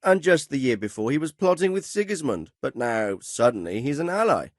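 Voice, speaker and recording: A middle-aged lord from a medieval video game, stern and authoritative. His voice sits at an average male pitch and his delivery is formal, measured and drily sardonic. The recording is close and dry, free of room sound. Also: very animated, dry recording. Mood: wry, skeptical. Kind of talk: video game dialogue acting.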